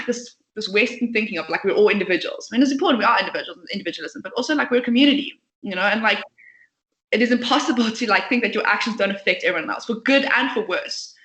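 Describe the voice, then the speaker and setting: A woman's voice: mid-range voice, a bit bright. A young woman, a company founder, talking passionately and at length as a podcast guest. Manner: passionate, chatty, outspoken. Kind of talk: podcast interview. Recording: echoey room.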